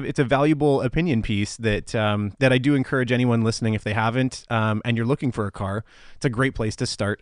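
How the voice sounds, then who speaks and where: A man's voice: medium pitch, dry recording, clean studio sound. A man hosting a casual podcast interview, encouraging and polite, with hesitant phrasing. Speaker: podcast host.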